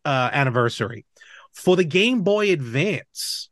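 Slightly higher-voiced man hosting a casual podcast. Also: big pitch swings, a little slow, fairly bright tone, dry recording, studio quality. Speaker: podcast host. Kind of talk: casual chat about games and film.

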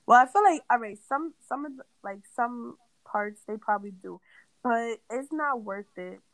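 A young woman from New York with a raspy voice after an asthma attack, hosting a casual podcast chat in a blunt, cursing rant. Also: on the high side, animated, moderate pace, dry recording, low-quality recording. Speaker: podcast host.

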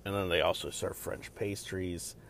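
Man, very deep gravelly voice, loud, rambling podcast host, faint rumble behind. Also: fairly even intonation, moderate pace. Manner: rambling, many fillers and restarts. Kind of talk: casual solo podcast chat.

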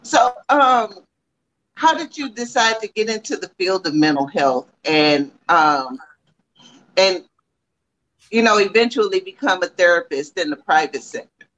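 Lively, halting interview host. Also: medium pitch, rough recording. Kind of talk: casual interview questions.

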